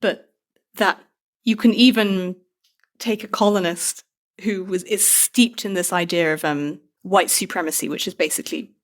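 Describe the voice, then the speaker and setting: A woman's voice: fairly low pitch, moderate pace. Thoughtful British woman in conversation. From Britain. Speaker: author being interviewed. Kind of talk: conversational interview about a book.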